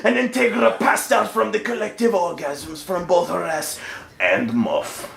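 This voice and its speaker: A man's voice: sits high, lively intonation, a little slow, vocal fry, reverberant, crowd noise. A man reading a story aloud as a theatrical comic performance. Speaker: storyteller in a comedy troupe.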